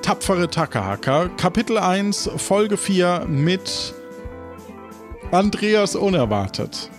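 A man's voice: high voice, big pitch swings, takes it slowly, music in the background. A man hosting a role-play podcast as game master, switching between casual chat and narration.

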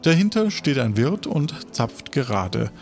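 A man's voice: medium pitch, very animated, a little slow. A man narrating an improvised role-play as game master, feeling his way through the words, with music behind.

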